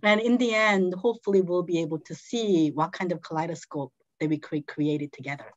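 A woman's voice: fairly low pitch, a little fast, dry recording. Woman host guiding a group game, patient and explanatory, dry, dull call sound. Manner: patient, explaining step by step with soft laughs. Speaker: game facilitator. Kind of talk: casual guiding of an online game. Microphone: video call.

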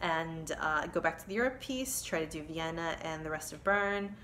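A woman's voice: low-pitched, moderate pace, a bit bright, a little hiss or hum. A woman in her thirties chatting to camera from her living room, rambling and correcting herself with many ums. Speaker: cross-stitch vlogger. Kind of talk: casual chat to camera. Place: living room with kids' toys, indoors. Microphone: phone camera at arm's length.

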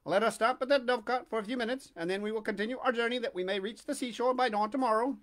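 A woman voicing a large, helpful male pigeon in a storybook, brisk and declarative. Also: a little high, pitched above the performer's own voice, close to an everyday voice.

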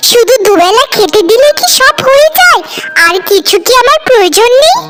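A woman's voice: very high-pitched, big pitch swings, fairly quick. A woman voicing a jealous, nagging young wife in a cartoon family drama, complaining and sarcastic. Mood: nagging, resentful. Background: music.

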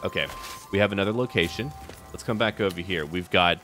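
A man's voice: low voice, fairly quick, a bit bright, soft background music. A man giving first-person roleplay commentary on a strategy game in short, theatrical remarks, as if addressing its characters. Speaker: gaming video host.

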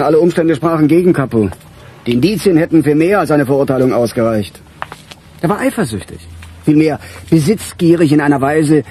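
An actor playing a weary middle-aged police chief in a radio crime drama, with a dry, musing, sardonic manner. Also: male voice, medium pitch, mellow, slight background noise, lightly acted. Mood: irritated, explaining.

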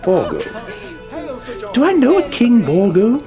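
A man's voice: extremely high pitch, wildly swooping pitch, unhurried, heavily acted. An actor playing an older, befuddled but kindly king in a comic video game, with a muddled, pompous delivery. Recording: loud music under the voice.

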